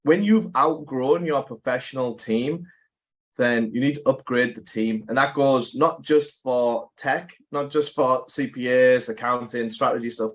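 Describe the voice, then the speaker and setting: A man hosting an interview, talking in an animated, conversational way and thinking aloud. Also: medium pitch. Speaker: podcast host. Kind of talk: casual interview chat. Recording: dull lo-fi audio.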